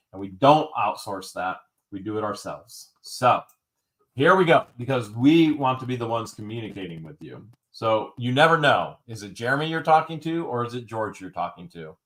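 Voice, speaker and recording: A man runs a live online auction from his home setup, his voice sitting at an ordinary middle pitch. He repeats each bid and stretches some phrases out for comic effect. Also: expressive, moderate pace, a bit bright. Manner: wisecracking, repeats bids, drawls some phrases. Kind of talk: live-stream auction patter.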